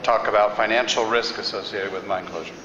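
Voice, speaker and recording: A man moderating a conference session and introducing the next speaker in a casual, unhurried way. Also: lowish voice, steady tone. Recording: distant, echoey hall, dull, noisy.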